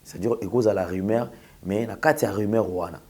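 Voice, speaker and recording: Congolese guy tv host, low husky voice, opinion monologue w lots of rhetorical questions. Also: brisk, darkish tone. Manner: rhetorical questions, emphatic repetition. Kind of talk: opinionated talk show monologue.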